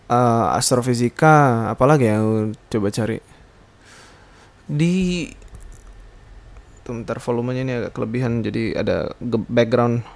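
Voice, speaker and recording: A man hosts a casual, rambling solo podcast, hesitantly searching for words and slipping in slang and English. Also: mid-range voice. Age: 20s. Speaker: podcast host.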